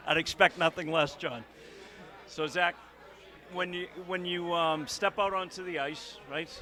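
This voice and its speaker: A man's voice: fairly high pitch, steady tone, a little slow, pushed and bright. A man working as a reporter, interviewing young players in a chatty, upbeat way on a handheld microphone. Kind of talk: on-camera interview coverage.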